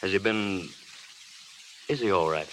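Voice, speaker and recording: An actor playing a kindly, reassuring film studio boss in an old radio drama, with a soothing, urbane manner. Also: male voice, low-pitched, measured pace, a bit bright. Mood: friendly, concerned. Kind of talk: 1930s-40s radio drama acting. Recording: hissy old radio recording.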